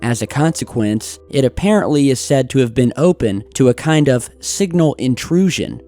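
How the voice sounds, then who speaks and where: A man's voice: medium pitch, animated, moderate pace, mellow, faint music behind. An American man hosting and narrating a scripted account of a mystery in voice-over. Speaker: YouTube host narrator.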